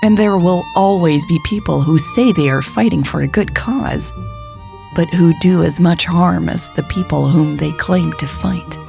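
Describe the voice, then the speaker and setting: A woman narrating scripted audio fiction in a husky, creaky voice. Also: on the low side, measured pace, dark tone, faint music behind. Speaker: podcast storyteller and narrator.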